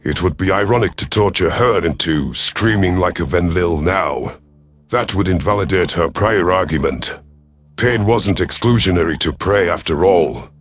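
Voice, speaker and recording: A man with a deep, low-pitched voice narrates science fiction. He reads a scripted first-person story as an audio drama narrator.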